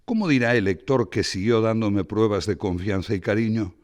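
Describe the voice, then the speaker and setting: A man giving a theatrical reading of a satirical essay, with expressive, dramatic phrasing and ironic asides. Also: on the low side, moderate pace. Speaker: reader of a literary essay.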